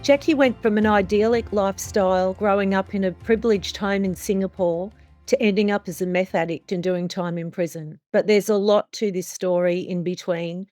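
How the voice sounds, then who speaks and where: A woman's voice: mid-range voice, darkish tone, dry recording. A woman hosting an interview podcast, introducing and talking with a guest in a conversational way. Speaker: podcast host.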